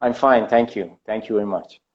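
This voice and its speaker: A man from Iran with an Iranian accent, a native Persian speaker, chatting casually and haltingly as a guest on a call. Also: fairly low pitch. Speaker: interview guest, illustrator. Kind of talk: casual video-call chat. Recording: dull, lo-fi internet call audio.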